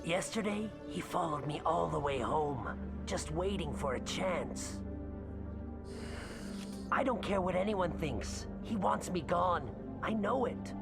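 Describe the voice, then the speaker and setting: A man's voice: sits high, animated, slow, vocal fry, loud music behind the voice, heavily acted. An actor voicing an anxious, scheming alien man in a video game, agitated and pleading. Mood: agitated, fearful. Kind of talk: video game character acting.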